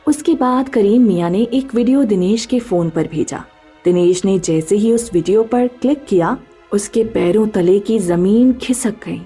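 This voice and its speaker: A woman's voice: mid-range voice, unhurried, dark tone, husky, soft background music. A woman narrating a scripted story as the narrator.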